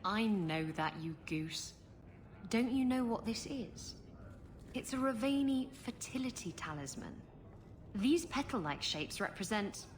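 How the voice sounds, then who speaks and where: Playful, knowing fantasy adventuress. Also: female voice, mid-range voice, a little hiss or hum. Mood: teasing. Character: frank, teasing, bawdy worldly woman adventurer. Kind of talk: dramatic video game dialogue.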